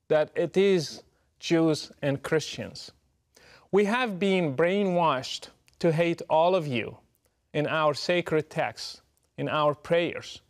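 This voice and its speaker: Man, middle-aged, fairly high voice, deliberate prepared address, emphatic. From Morocco. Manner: measured, emphatic, deliberate delivery. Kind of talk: prepared direct address to camera.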